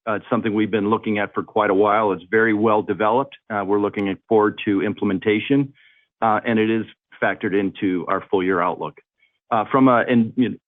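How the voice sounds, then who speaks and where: Male company executive fielding investor questions, hesitant and measured, fairly low pitch, narrow call audio. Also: no echo. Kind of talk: earnings call Q&A answer. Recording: muffled, band-limited conference call audio.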